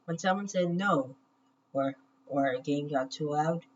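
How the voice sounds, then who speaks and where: A woman reading a children's story aloud in measured short phrases with pauses, on a muffled, noisy recording. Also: low-pitched. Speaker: children's book reader.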